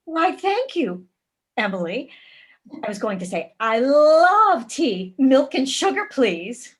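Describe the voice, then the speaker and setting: Effusive housewife played by an actress, drawn-out delivery, higher voice, polite stage satire. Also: female voice, sing-song, husky, full character voice. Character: effusive, chatty suburban housewife at tea. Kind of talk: staged play reading.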